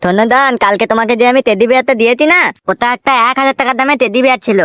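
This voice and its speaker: A woman voicing a cheeky, scheming little boy in a comic cartoon, with a pushy, whiny, quick-fire way of talking. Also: on the high side, fairly bright tone, no room sound, close to an everyday voice. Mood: boastful wooing.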